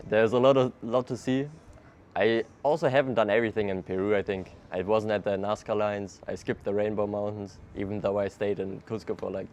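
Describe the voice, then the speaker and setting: A man's voice: lowish voice, slight background noise. A young man with a German accent answering interview questions in English in an easygoing way, laughing often. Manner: relaxed, laughs often, loose non-native phrasing. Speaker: interviewee, traveler. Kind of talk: casual street interview. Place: outdoors in a city.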